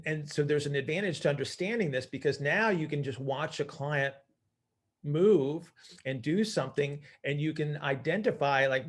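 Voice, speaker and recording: A man leading an informal group call as coach, teaching emphatically by posing questions and answering them himself. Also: fairly high pitch. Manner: emphatic, asks and answers own questions, often checks with "right?".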